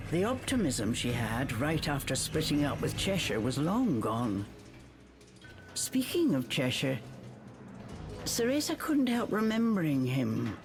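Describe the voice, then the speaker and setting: A woman narrating a video game like a storybook, in an expressive, measured way. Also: low voice. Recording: music behind the voice.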